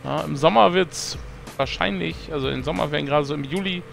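Hoarse guy narrating his farming game, gravelly, kinda high voice, faint music behind. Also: fairly quick, a bit bright. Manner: chatty, self-mocking, thinks aloud with fillers. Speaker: let's play host. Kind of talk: casual gameplay commentary.

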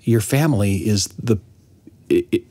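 A man chatting casually as a host, with expressive, folksy interjections. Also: fairly low pitch, normal speed. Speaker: radio show host. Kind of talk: casual chat.

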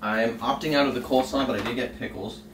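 A young man talks through his cooking in a loose, chatty way, full of "uh" and "um". His voice sits at an ordinary middle pitch. It carries a roomy echo and background noise from a camera set across the kitchen. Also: fast talker, recorded from a distance. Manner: chatty, offhand, lots of fillers. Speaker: home cooking vlogger. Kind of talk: casual cooking vlog. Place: home kitchen. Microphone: camera on the counter, across the kitchen.

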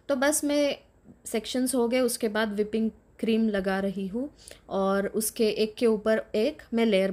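Chatty woman narrating a vlog. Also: mid-range voice. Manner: mixes Hindi with English phrases, relaxed and chatty. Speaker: vlogger, mother. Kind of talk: casual voice-over narration.

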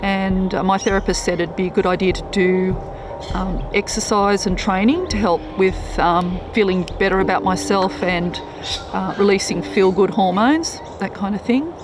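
A woman's voice: mid-range voice, normal speed. A woman in her fifties, a triathlon coach, talking earnestly with many fillers in a documentary interview outdoors. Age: 50s. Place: outdoors in a park. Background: music.

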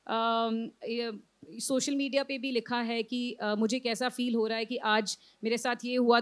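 A woman in her thirties with an Indian accent, an author speaking on a festival panel into a handheld mic, reflective and full of fillers, slipping into English phrases. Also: fairly high pitch. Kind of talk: panel discussion. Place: outdoor literary festival stage.

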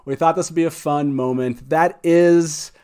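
A man's voice: a little high, fairly even intonation, normal speed, darkish tone, smooth. An enthusiastic, effusive man in his forties hosting a casual livestream chat, speaking into a close studio mic. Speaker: show host. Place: small wood-panelled studio indoors. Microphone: close studio mic on boom arm.

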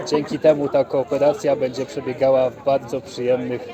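A man's voice: medium pitch, little pitch movement, moderate pace. A man giving an interview answer in full, formal sentences. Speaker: volunteer organisation representative. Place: outdoor volunteering fair in a park. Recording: outdoor fair crowd noise.

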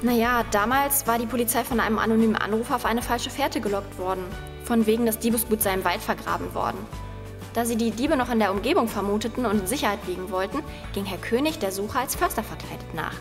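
A woman's voice: on the high side, fast, long reverb tail, music in the background, close to an everyday voice. A woman playing a sensible, matter-of-fact child detective in a children's radio play.

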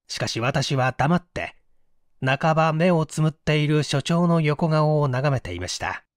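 Expressive male audiobook narrator, lively intonation. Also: fairly high pitch, no room sound, clean studio sound. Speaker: audiobook reader, stage actor. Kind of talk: scripted literary narration of a mystery story.

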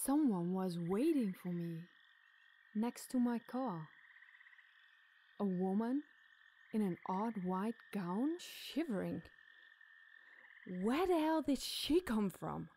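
A woman telling a scary campfire story in a suspenseful, deliberate, dramatic narration. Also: mid-range voice, lively intonation, warm tone, a little hiss or hum. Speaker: podcast storyteller.

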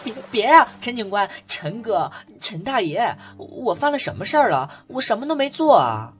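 A woman's voice: a little high, big pitch swings, normal speed, husky. A woman voicing a streetwise, protective, hot-tempered young man in an audio drama, blunt and emotional. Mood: pleading. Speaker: voice actor.

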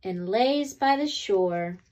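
A woman reading a children's picture book aloud expressively, with deliberate dramatic pauses between phrases. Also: medium pitch, lively intonation, a little mellow, highs cut off. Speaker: read-aloud storyteller.

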